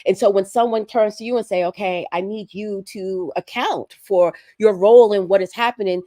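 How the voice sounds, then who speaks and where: A hoarse woman, sick with a respiratory virus, making earnest, emphatic points as a guest on a livestream conversation. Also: mid-range voice, moderate pace, warm tone, no echo, studio quality. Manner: earnest, emphatic, building points through repetition. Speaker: guest commentator.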